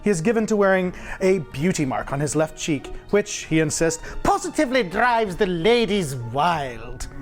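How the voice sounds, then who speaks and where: A woman's voice: fairly low pitch, very animated, normal speed, soft background music. A woman acting as game master, narrating scripted fantasy descriptions for a tabletop game.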